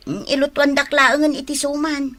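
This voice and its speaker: A woman playing a hospitable, humble village housewife in a radio drama, speaking in a homely, expressive way. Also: sits high, moderate pace. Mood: cheerful, inviting.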